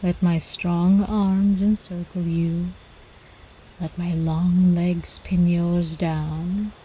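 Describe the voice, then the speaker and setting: A woman voicing an ancient, seductive vampire countess in an intimate ASMR roleplay, teasing and cooing with quiet menace, often slipping into whispers. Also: on the low side, flat delivery, very slow, a little hiss or hum, poor audio. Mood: seductive, soothing.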